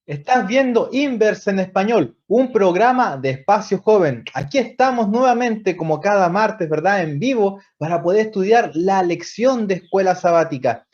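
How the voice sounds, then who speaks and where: A man's voice: sits high, a little mellow. A man with a Chilean accent hosting a live youth Bible study show, upbeat and inviting. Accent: Chilean Spanish. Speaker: host. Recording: dull lo-fi stream audio.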